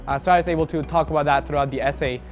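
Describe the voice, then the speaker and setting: A young man from Mexico City, a university student giving a relaxed testimonial, talking casually with a lot of "uh" fillers. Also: a little high, racing, soft background music. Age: young adult. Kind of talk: testimonial to camera.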